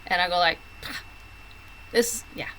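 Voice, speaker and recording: A woman's voice: fairly low pitch, unhurried, a bit bright, a little hiss or hum, rough recording. A woman talking casually as a guest in an interview, acting out little quoted asides as she goes. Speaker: interview guest, comic book writer.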